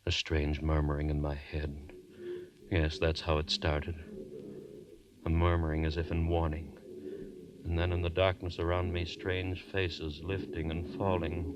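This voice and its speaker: A man's voice: very deep voice, background noise. An actor playing a brooding accused murderer voicing his anguished thoughts, in dramatic 1940s radio style. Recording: dull old 1940s radio recording.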